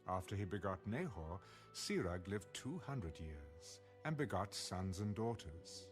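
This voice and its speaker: Slow, low-voiced male scripture narrator. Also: very animated. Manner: measured, formal. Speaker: audio Bible narrator. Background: soft background music.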